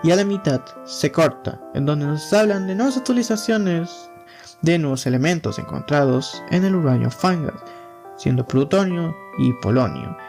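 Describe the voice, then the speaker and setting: A man's voice: a little high, expressive, moderate pace, darkish tone, soft background music. A man narrating as a video host in a scripted commentary style. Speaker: YouTube host.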